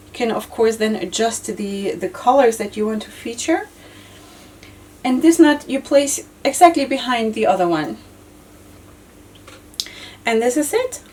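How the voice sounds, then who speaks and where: A woman's voice: a little high, animated, a little slow, long pauses, a little mellow, distant mic. A woman in her thirties with a slightly strained voice, chatting casually through a step-by-step head-wrap tutorial, with lots of fillers and repeated words. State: losing her voice, occasional cough. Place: indoor studio with floral backdrop.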